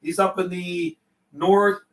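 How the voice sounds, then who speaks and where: A man's voice: high voice, flat delivery, slow pace, background noise. A man hosting a CB radio livestream, chatting casually in slang and repeating and relaying messages between operators. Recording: roomy, distant microphone.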